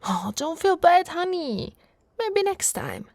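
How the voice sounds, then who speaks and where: A woman from Italy playing an affectionate, teasing female orc warrior in an intimate, soft-spoken ASMR roleplay. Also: extremely high pitch, very animated, slow pace, creaky, dry recording, clean studio sound, heavily acted. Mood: teasing, competitive. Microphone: close, dry microphone.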